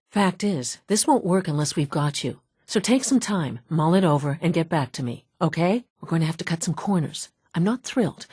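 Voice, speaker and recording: A woman's voice: sits low, mellow, slightly rough, dry recording. A woman playing a brusque, dry-witted scientist from an underground institute in a video game, businesslike and wry. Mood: brisk, reassuring, then matter-of-fact, wry. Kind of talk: video game character dialogue.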